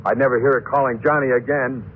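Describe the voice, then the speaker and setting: An actor playing a guilt-haunted, nervous murderer in an old-time radio horror drama, speaking in halting, stammering phrases. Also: male voice, medium pitch, steady tone, natural voice. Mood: frantic relief. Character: guilt-haunted, jittery murderer narrating his crime. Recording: noisy, muffled old radio broadcast.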